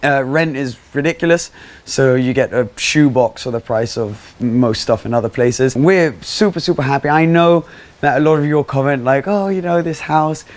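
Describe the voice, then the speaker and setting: A young man talks his way around a small rented house and garden. His talk is upbeat and rambling. A clip-on mic picks up his voice, indoors and out, at a mid pitch. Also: moderate pace. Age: 20s. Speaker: vlogger giving a house tour. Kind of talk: casual walk-through vlog. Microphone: small clip-on mic on his shirt.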